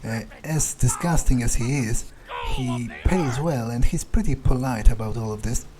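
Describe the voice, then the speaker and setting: A man's voice: mid-range voice, moderate pace, background noise. A man doing in-character game playthrough commentary, thinking aloud hesitantly and swearing bluntly. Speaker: gaming video creator.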